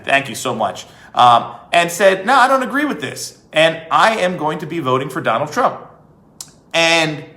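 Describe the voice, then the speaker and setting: American guy doing live commentary, kinda high forceful voice, repeats stuff for emphasis, echoey room. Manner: emphatic, deliberate, repeats phrases for effect. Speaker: host, former police officer. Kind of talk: livestream commentary addressed to viewers.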